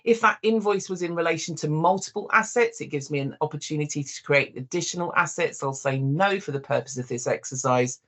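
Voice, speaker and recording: A software demonstrator giving a live walkthrough, explaining step by step in an informal way and addressing viewers directly. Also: medium pitch, a little fast, few pauses.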